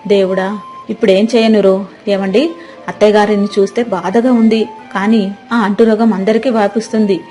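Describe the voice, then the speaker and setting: A woman's voice: mid-range voice, level tone, normal speed, mellow, faint music behind, lightly acted. A woman voicing a sly young daughter-in-law in a moral storybook tale, lamenting and pleading theatrically. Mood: feigned alarm.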